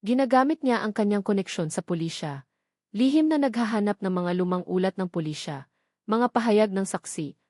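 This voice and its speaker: Woman reading a scripted love drama story, mid pitch, really dry studio-ish sound. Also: a little mellow, dry recording. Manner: reads characters' dialogue within the narration.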